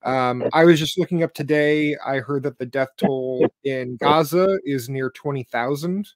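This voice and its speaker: A man's voice: mid-range voice, unhurried, no echo, rough recording. A young man hosting an interview from a room at home over a video call, eager and full of ums and restarts. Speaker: host, interviewer. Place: home room with bookshelves, indoors. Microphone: webcam on a video call.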